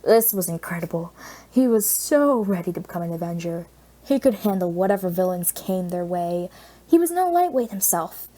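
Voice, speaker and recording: Mellow-voiced woman narrating a story. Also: lowish voice, animated, normal speed. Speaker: audiobook narrator. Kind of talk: scripted fan fiction narration, expressive storytelling.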